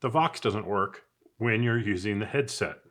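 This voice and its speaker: A man's voice: on the low side, moderate pace, a bit bright. A man giving a casual, unscripted product review in a chatty, conversational way, as if thinking aloud. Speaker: product reviewer, channel host.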